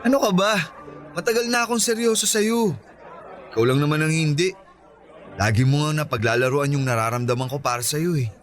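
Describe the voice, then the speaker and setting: A man's voice: on the high side, a little fast, natural voice. A man acting a teasing, cocky young man in love with an old friend, in a radio romance drama. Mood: earnest, lovestruck. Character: Ronnie, teasing, cocky, lovestruck young male childhood friend. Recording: background music and crowd chatter.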